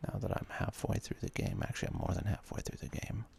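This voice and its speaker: A man's voice: fast talker, faint music behind. A man whispering ASMR commentary as he hosts a video game let's play. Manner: soft, breathy whispering.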